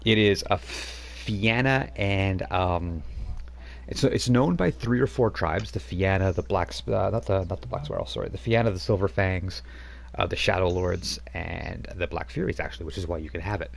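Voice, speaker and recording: A man's voice: sits low, normal speed, gravelly, a little hiss or hum. A man narrating as game master in a live-streamed tabletop game, rambling with many fillers. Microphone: home microphone on a live stream.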